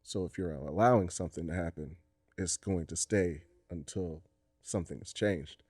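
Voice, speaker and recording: A man co-hosting a podcast, talking in a reflective, deliberate way in casual chat. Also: low-pitched, darkish tone, breathy edge, no room sound, clean studio sound. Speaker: podcast co-host.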